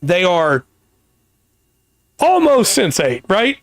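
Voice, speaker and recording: A man hosting a casual podcast, explaining things at length with pauses and restarts, his voice getting worn. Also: sits high, wildly swooping pitch, no room sound. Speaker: podcast host.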